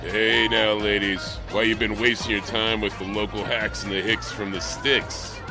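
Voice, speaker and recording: A man playing a smooth-talking, cocky ladies' man in a 1920s period drama. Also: on the low side, little pitch movement, moderate pace, lightly acted. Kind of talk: period radio drama acting. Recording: music behind the voice.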